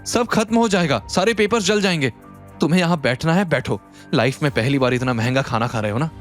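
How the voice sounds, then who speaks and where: A man voicing a proud, scornful young businesswoman in an audio drama, sharp and indignant, slipping into English. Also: fairly high pitch, lively intonation, a little fast, soft background music, natural voice. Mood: furious. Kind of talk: Hindi audio drama acting.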